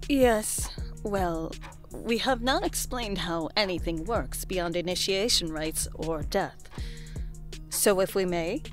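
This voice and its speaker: An actress playing a calm assassin who explains things, in an audio drama. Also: female voice, on the low side, slow, faint music behind. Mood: calm, careful.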